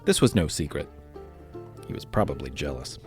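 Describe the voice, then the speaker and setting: A man's voice: a bass voice, expressive, moderate pace, over music. A man hosting and narrating a history podcast for children, reading a script in a conversational, playfully dramatic way.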